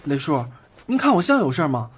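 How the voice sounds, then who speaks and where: Man acting a very animated hot-headed youth. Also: on the high side, quick pace, breathy edge. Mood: pleading. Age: young adult. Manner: emotional, intense. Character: hot-headed young man, fiercely loyal, vengeful. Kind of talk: Chinese audio drama acting. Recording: loud music over the voice.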